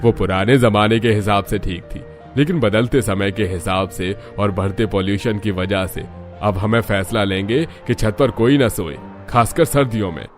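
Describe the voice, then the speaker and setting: Cartoon village chief, male actor: lowish voice, declamatory, commanding, faint music underneath. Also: moderate pace, close to an everyday voice. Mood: authoritative. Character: village headman, authoritative and agreeable community leader. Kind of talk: cartoon moral story acting.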